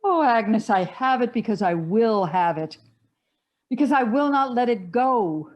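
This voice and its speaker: A woman's voice: a little high, lively intonation, measured pace, lo-fi sound. A woman playing a proud, jealous, aging society matron in a stage comedy, theatrical and emphatic. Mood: proud, indignant. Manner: theatrical, emphatic, grandly indignant. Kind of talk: stage comedy acting, one-act play.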